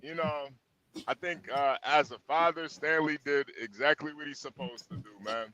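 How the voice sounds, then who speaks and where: A man's voice: a little high, normal speed, bright, forceful tone, no room sound, low-quality recording. An American man in casual chat who repeats his phrases for emphasis.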